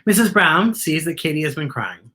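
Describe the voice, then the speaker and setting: A woman's voice: deep voice, animated, normal speed, bright, forceful tone. A woman hosting a children's storytime, reading a picture book aloud and adding explanatory asides.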